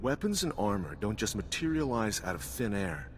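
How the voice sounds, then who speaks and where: A man's voice: lowish voice, very animated, normal speed. An actor playing a wry, suspicious male intelligence agent in a video game, talking in a terse, knowing, dry way. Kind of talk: video game character dialogue. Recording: reverberant hall with background noise.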